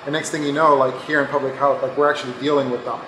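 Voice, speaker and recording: A man's voice: mid-range voice, flat delivery, quick pace, noisy background. A middle-aged man, a microbiologist, giving a casual interview, talking loosely with lots of filler phrases. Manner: loose, informal, frequent "like" and "you know". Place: laboratory indoors. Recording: echoey room.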